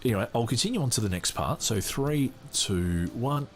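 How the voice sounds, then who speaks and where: A man hosting a podcast, reading a book aloud and breaking off to comment, animated, laughing often, with lots of fillers and restarts. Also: medium pitch, moderate pace, background noise. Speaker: podcast host.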